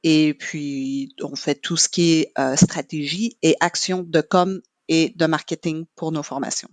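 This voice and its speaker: A middle-aged woman whose first language is English, a podcast guest explaining her work in a measured way with many pauses. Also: deep voice, fairly even intonation, no room sound. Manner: measured, with frequent "euh" pauses. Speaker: guest, training marketing manager. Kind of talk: podcast interview, explaining at length.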